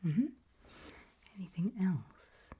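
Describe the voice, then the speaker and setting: Slow, whispering woman playing a reassuring doctor. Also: fairly low pitch, big pitch swings, warm tone, full character voice. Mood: gentle, reassuring. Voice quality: breathy whisper. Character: calm reassuring female doctor running a creepy memory test. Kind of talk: ASMR roleplay, whispered.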